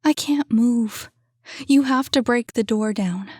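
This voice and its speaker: A woman voicing a desperate trapped young woman who urgently pleads and shouts through a door. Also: fairly high pitch, slow pace, breathy edge, dry recording, studio quality, pitched above the performer's own voice. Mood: pleading.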